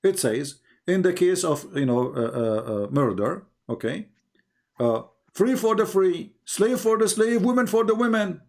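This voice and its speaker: A man's voice: high-pitched, lively intonation, a little slow, darkish tone. A man speaks English as an in a live debate. He lectures insistently, like a teacher pressing a point, and keeps putting rhetorical questions to his listener. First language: non-English. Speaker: religious debater on a live call. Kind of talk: polemical live lecture to a caller.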